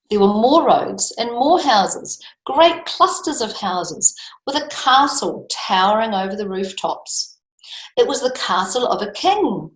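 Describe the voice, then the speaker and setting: A woman reading a picture book to children, expressively, drawing out key words and adding chatty asides. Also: medium pitch. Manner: emphatic, drawn-out key words, questions to young listeners. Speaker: children's storytime reader. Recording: echoey room.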